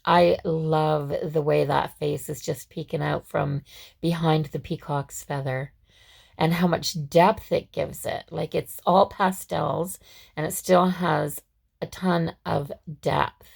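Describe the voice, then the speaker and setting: Low, gravelly woman. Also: fairly even intonation, takes it slowly, a little mellow. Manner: chatty, with frequent fillers and restarts. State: scratchy, hoarse voice. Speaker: craft vlogger. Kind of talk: casual step-by-step craft tutorial.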